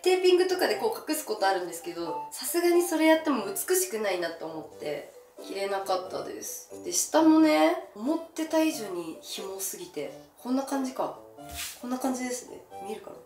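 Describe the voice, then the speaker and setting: A young woman talks casually to the camera in a high, very animated voice with a bashful, exclamatory manner. She is recorded in a small, echoey room at a little distance, with faint music behind her. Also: fairly quick. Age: young adult. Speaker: gravure idol presenting outfits. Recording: roomy echo from camera distance.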